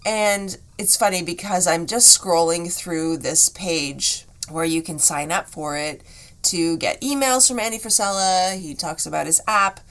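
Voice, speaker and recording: A woman hosting a solo podcast, chatting in an encouraging way, her voice a bit rough today. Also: lowish voice, unhurried, fairly bright tone. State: slightly rough voice. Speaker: podcast host.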